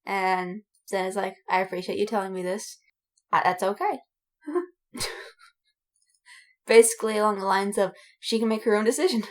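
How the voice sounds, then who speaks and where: A woman's voice: mid-range voice, normal speed, pauses often. A woman podcast co-host in a casual chat, talking in a giggly, gushing fangirl way. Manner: giggly, gushing fan enthusiasm. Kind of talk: casual chat about anime and fandom.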